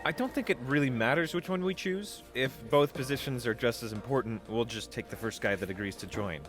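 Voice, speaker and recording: A man's voice: medium pitch, brisk, over music, close to an everyday voice. A man playing an anxious, polite young leader of a space biker squad in an audio drama, hesitant and nervously rambling. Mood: mild, firm.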